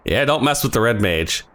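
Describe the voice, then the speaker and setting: Hoarse man doing game commentary. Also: medium pitch, fairly bright tone, slight background noise. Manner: joking asides to the audience. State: losing his voice. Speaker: let's play host.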